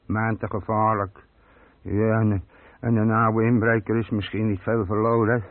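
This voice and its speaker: Chatty old burglar, thin radio sound. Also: male voice, fairly low pitch, flat delivery, measured pace, no room sound. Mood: indignant. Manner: chatty, colloquial, boastful. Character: talkative, self-satisfied older male burglar, cautious and scolding. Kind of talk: radio play dialogue. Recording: dry, muffled telephone-like old radio recording.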